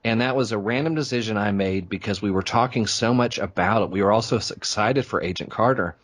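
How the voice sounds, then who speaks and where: A man's voice: on the low side, a little fast. A man who hosts podcasts, chatting informally and telling anecdotes in a casual interview. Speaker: podcast host being interviewed.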